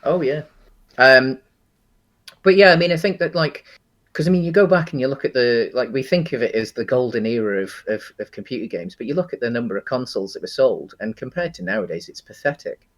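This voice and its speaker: A British man co-hosting a casual discussion of films and games, speaking in a halting way with frequent pauses mid-sentence. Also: medium pitch. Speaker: podcast co-host.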